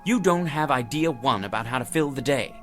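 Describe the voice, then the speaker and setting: A man's voice: mid-range voice, expressive, brisk, a bit bright, faint music behind, natural voice. An actor playing a man's conjured double, a sardonic, chummy alter ego who goads and wisecracks in audio drama style. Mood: needling. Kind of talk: theatrical audio drama acting.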